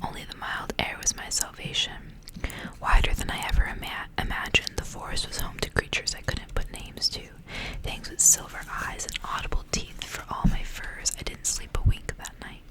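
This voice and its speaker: Softly whispering woman, ASMR style. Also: normal speed, rough recording. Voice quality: breathy unvoiced whisper. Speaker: ASMR creator reading stories aloud. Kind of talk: whispered ASMR storybook reading with casual asides.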